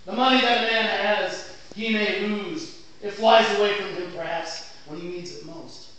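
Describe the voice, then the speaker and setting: Casting: man as solemn, theatrical barrister, bright high voice, orating in an echoey hall. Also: moderate pace. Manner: grandiloquent, declamatory, solemn. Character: impassioned male lawyer pleading to a jury. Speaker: stage actor. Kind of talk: theatrical stage oratory. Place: indoor theatre stage. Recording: echoey theatre, distant mic, faint noise.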